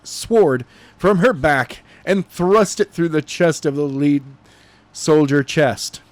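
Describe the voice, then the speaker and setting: Man giving a halting story narration, slightly high-pitched. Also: animated, dry recording. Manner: halting, stumbling read with self-corrections. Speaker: narrator reading a novel chapter. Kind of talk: animated amateur audiobook narration.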